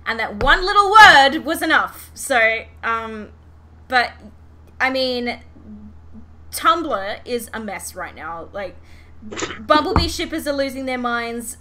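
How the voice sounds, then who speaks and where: A woman podcast host chatting casually and animatedly about a fandom, swearing freely. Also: a little high. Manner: animated, sweary, giggly retelling. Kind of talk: casual fan podcast chat. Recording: clear background noise.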